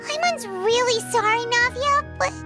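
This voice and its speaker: A woman voicing a tiny, childlike flying fairy companion in a video game, with a squeaky voice and expressive, chirpy delivery. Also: a little slow, music in the background. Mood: worried, sheepish. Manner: childlike, refers to herself in third person, expressive. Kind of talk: video game dialogue acting.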